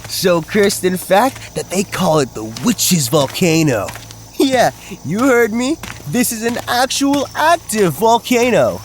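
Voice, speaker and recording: Brash young male ghost-hunt host played by a man: high voice, animated, crude slang, audio drama. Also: slow, a little hiss or hum, heavily acted. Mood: hyped, showy. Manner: cocky, slangy, hyped-up vlogger patter.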